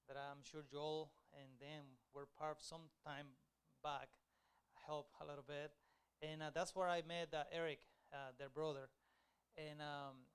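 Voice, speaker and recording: Man, missionary, halting, fairly high smooth voice. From Honduras. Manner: halting, with frequent fillers. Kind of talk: church mission presentation.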